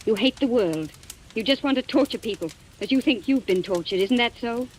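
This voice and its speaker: A woman's voice: a little high, expressive, brisk, natural voice, low-quality recording. A woman playing a proud, guarded, desperate blackmail victim in an old radio mystery, speaking in an earnest, clipped way. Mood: defiant, probing. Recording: old radio recording with background hiss.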